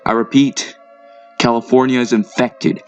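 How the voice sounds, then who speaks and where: An actor playing an alarmed field news reporter, urgently warning viewers in a dramatic live report. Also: male voice, medium pitch, little pitch movement, measured pace, a little mellow, dull audio, soft background music, close to an everyday voice.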